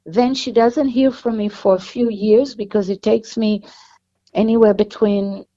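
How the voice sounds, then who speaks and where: A woman's voice: medium pitch, fairly even intonation, measured pace, dark tone, dull audio. A woman with an Israeli accent, an author guest talking at length in an interview. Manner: chatty, explains at length with restarts.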